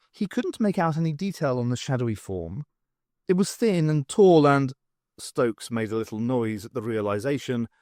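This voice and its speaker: Male narrator for fiction audiobook: expressive, swooping intonation, very dry close sound. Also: mid-range voice, no echo.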